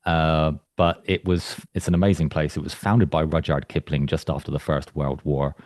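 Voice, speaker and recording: A man's voice: rumbling low, level tone, moderate pace, a little mellow, dry recording, studio quality. A man giving a chatty, self-deprecating presentation over a video call, often restarting his sentences. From England. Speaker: invited speaker, studio founder. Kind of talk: presentation to an online club.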